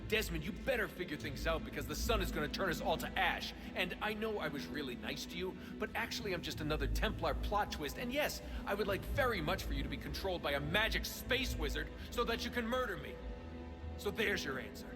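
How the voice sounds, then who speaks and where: An actor playing a frustrated, defiant young American hero and son in a video game, blunt and sarcastic. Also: male voice, high voice, animated, brisk, in a large hall, over music. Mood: fed up, angry. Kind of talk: video game cutscene acting.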